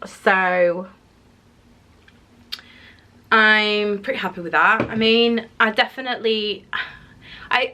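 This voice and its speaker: A woman's voice: mid-range voice, sharp tone. A woman vlogging her weekly weigh-in in a rambling, casual way, sounding slightly nasal as she recovers from illness. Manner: chatty, rambling, many ums and restarts. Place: home office.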